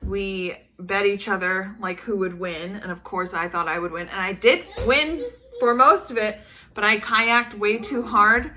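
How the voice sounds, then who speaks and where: A woman's voice: medium pitch, unhurried, bright, forceful tone. A woman chatting casually and telling stories with her partner for a vlog, in a playful, teasing way. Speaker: vlog co-host telling stories over photos. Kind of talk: casual storytelling chat with partner.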